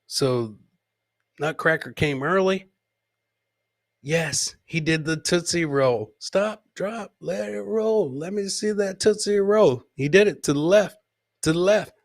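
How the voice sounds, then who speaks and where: A man hosting a comedy podcast, giving joking commentary on clips, repeating his phrases for effect and mimicking the people he talks about. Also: a little high. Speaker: host of a live comedy podcast.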